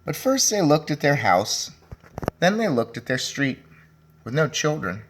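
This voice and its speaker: A man reading a children's story aloud as a teacher, speaking deliberately and addressing young listeners directly. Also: a little high, sing-song, a little hiss or hum. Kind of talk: children's read-aloud with commentary.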